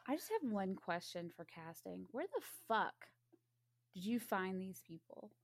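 A woman co-hosting a podcast in casual chat, emphatic, sardonic and quick to swear. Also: mid-range voice, big pitch swings. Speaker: podcast co-host.

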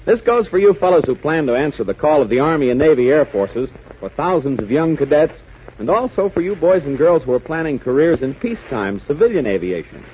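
A man's voice: a little high, very animated, a little fast. A man with an American accent announcing in dramatic, emphatic 1940s radio style. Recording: muffled, hissy 1940s radio recording.

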